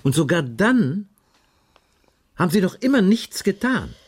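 An actor playing a probing, officious police inspector taking a statement in a radio crime drama, pressing insistently with each question. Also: male voice, sits high, very animated, a little slow, breathy edge, full character voice. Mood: probing, reproachful. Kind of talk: 1980s German radio crime drama acting.

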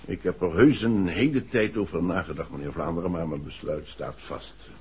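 An actor playing a grave, resolute bereaved father, a formal and courteous gentleman, in a 1960s Dutch radio play. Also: deep voice, moderate pace, husky, heavily acted. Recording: dull, muffled old radio recording.

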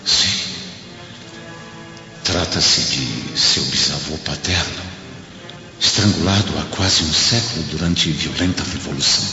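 An actor playing a pragmatic, sceptical Roman senator in a radio play, speaking in an emphatic, theatrical way as a friend and adviser. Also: male voice, rumbling low, measured pace, pauses often, pushed and bright, soft background music, full character voice. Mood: recalling, matter-of-fact. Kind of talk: dramatic radio play acting. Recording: dull lo-fi audio with storm noise.

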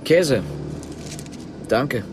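A man's voice: mid-range voice, expressive, drawn-out words. A man playing a guarded, brooding East German policeman on the run in a radio drama, speaking tersely and with understatement. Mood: subdued, guarded. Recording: noisy, car and traffic sounds. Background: vehicle ambience.

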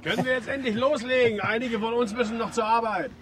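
Impatient, high-voiced male workmate. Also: steady tone, quick pace, fairly bright tone, a little hiss or hum. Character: impatient, practical workmate and gruffly encouraging friend. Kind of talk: naturalistic radio drama acting.

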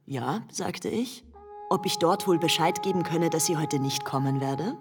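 Cool young socialite telling memories, low voice, music playing behind, radio play. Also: female voice, unhurried. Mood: fond reminiscence. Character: poised, cool young society woman reminiscing. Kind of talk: radio drama storytelling. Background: prominent music.

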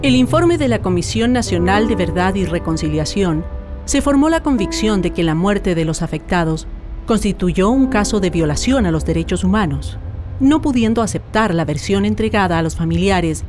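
A woman narrator with a Chilean accent reads a formal script. Her pitch is middling for a woman. Music plays clearly behind her. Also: expressive, normal speed.

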